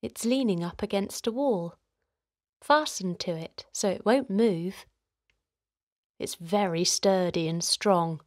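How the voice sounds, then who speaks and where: A woman gently guiding a children's relaxation in a soothing, encouraging way, her voice softly breathy and hushed at times. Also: mid-range voice, slow pace, dry recording, studio quality. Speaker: host of children's guided relaxation. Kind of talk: guided meditation narration for children.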